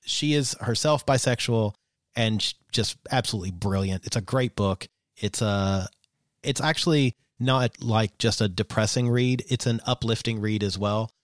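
Podcast co-host, male, lowish voice, loose and chatty, dry close sound. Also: normal speed, no room sound. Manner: relaxed, chatty, swears casually, frequent fillers. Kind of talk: casual chat.

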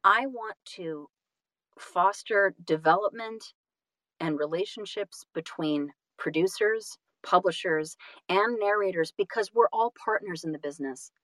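A woman's voice: medium pitch, unhurried, dry recording. A woman speaking as a guest audiobook narrator and actor in a casual interview, earnest and effusive, slipping into little acted-out asides. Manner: expressive, acts out little quotes and asides.

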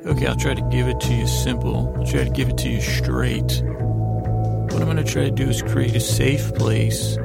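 A man hosting a bedtime story podcast in a lulling, meandering way, halting and stammering as he wanders off on tangents. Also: medium pitch, steady tone, creaky, loud music behind the voice. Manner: lulling, soothing delivery. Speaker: podcast host.

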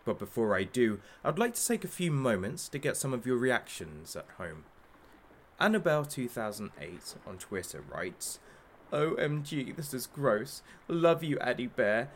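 A gleefully cruel masked showman played by a man hosts his captive talk show in an audio drama. His mid-pitched voice swings widely with sarcasm and theatrical flourish, over faint noise and ambience. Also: big pitch swings, normal speed, close to an everyday voice. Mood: mocking showmanship.

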